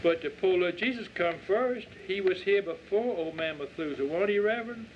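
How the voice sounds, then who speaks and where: An earnest little Southern country girl, voiced by a man, pitched high and quick to contradict. She has a pleading, folksy storybook manner, heard on a dull recording. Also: close to an everyday voice. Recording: dull lo-fi audio with faint noise.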